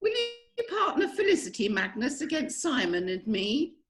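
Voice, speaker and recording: A woman acting a high, swooping melodramatic lady of the manor. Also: huge pitch swings, over music. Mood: brittle hostess. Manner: theatrical, drawn-out delivery. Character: melodramatic aristocratic lady of the manor, passionate widow. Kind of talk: amateur online play reading.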